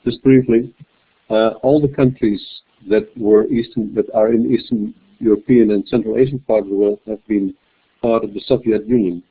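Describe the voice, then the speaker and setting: A man with a fairly low voice speaks as a webinar panelist, measured and prone to restarts. His audio comes through thin and narrow, like a phone line. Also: fairly even intonation, slightly rough. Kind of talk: webinar discussion. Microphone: computer mic on a web call. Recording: tinny phone-like web call audio.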